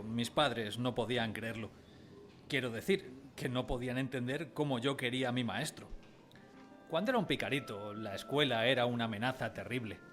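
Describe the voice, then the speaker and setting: A man's voice: medium pitch, lightly acted. A man playing a wistful narrator who recalls his village boyhood in a scripted radio drama. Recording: music under the voice.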